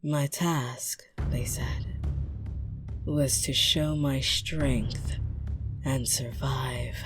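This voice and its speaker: A woman playing a coldly patient, menacing interrogator from a warrior clan, speaking deliberately and with mock politeness, slipping into whispers in an ASMR drama. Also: extremely low pitch, steady tone, music in the background, full character voice. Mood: softly menacing. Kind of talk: dramatic ASMR roleplay monologue.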